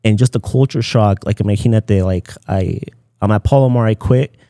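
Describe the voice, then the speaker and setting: Man, Spanish speaker, relaxed dark tone, dry close sound, casual podcast storytelling. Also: on the low side, moderate pace, no room sound. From Southern California, United States. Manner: informal, peppered with "like" and Spanish phrases. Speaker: podcast guest, content creator.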